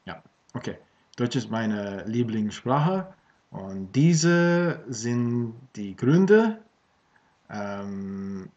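A man in his thirties from Australia, a native English speaker, talking casually in a learned language with many fillers and restarts, filmed by a webcam at home. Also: on the low side. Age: 30s. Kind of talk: casual vlog in German. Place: small room at home with bookshelves. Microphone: webcam at desk. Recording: dull lo-fi webcam audio.

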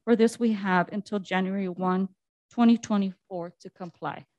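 A woman on city staff presents to the council, mostly reading her slides aloud and leaning on "um" between phrases. Her voice sits at a middling pitch, and the sound is dry and close. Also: steady tone, moderate pace, darkish tone, dry recording, highs cut off. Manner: reads slide text aloud with frequent fillers.

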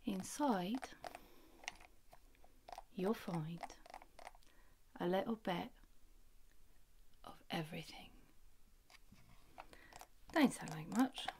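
A young woman playing a gentle, affectionate, playful rogue in a fantasy ASMR roleplay, speaking softly and intimately with rustic phrasing, often in a whisper. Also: fairly low pitch, creaky, noisy background. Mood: encouraging. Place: small wood-panelled room indoors. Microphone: phone at close range.

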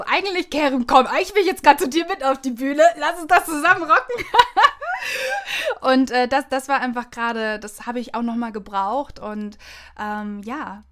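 Effusive high-voiced woman podcaster. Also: fairly bright tone. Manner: effusive, gushing. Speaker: podcast host. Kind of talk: casual podcast chat.

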